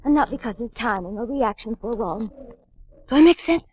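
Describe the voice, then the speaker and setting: An actress playing a fearless, taunting young circus trapeze artist in 1940s radio drama style. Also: female voice, on the high side, animated, brisk, slightly rough. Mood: defiant, taunting. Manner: commanding, taunting. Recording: muffled 1940s radio recording.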